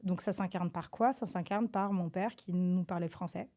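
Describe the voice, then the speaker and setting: A woman from France, a government advisor, answers interview questions in a hesitant, halting way with many filler sounds and restarts. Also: lowish voice, mellow. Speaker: government advisor, podcast guest.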